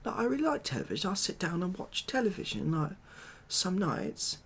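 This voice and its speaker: A British man doing a soft-spoken ASMR comedy roleplay as a glum, overwhelmed ex-builder, rambling along in a hesitant, deadpan way. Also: sits high, fairly quick, breathy edge. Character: glum, overwhelmed ex-builder made president of the world.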